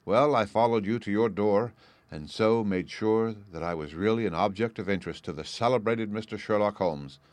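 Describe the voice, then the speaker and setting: A man reading out a letter written by a clever, composed woman, in a measured storybook voice. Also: lowish voice, no room sound, natural voice.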